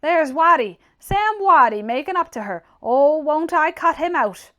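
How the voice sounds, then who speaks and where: A woman's voice: high-pitched, animated, a little slow, bright, forceful tone, lo-fi sound, voice pushed up, full character voice. A woman voicing a blunt, opinionated, scornful young tradesman in an audiobook, with a brusque, mocking delivery and emphatic exclamations. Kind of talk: dramatized audiobook character reading.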